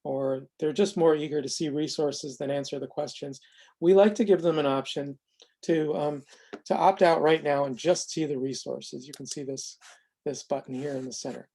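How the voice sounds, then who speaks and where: A presenter giving an explanatory software demonstration in a measured way, often pausing mid-sentence. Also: medium pitch, fairly even intonation. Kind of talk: semi-scripted explanatory demo narration.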